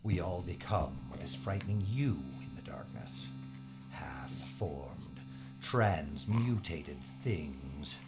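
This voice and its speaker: A man's voice: low voice, expressive, slow, over music, heavily acted. A man playing an obsessed, pleading mad scientist in a sci-fi audio drama, with a dramatic, grandiose delivery. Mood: ominous. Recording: dull, muffled audio drama recording.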